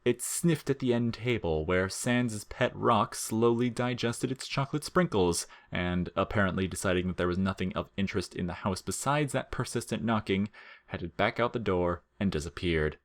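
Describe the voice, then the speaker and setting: Low-voiced man narrating comic fiction. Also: normal speed.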